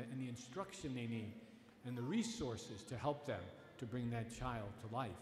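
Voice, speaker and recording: A man's voice: mid-range voice, slight background noise. A middle-aged man, a priest, preaching a Sunday homily from a lectern in a church, earnest and emphatic, often restarting his phrases. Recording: echoey church with long reverb.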